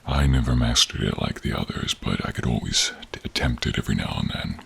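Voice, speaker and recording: A man's voice: animated, a little fast, fairly bright tone, slight background noise, full character voice. A man voicing a protective, tender dragon in human form, speaking earnestly and with feeling in an audio role-play. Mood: tender, weary. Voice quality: deep, husky, breathy. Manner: earnest, emotional, caring.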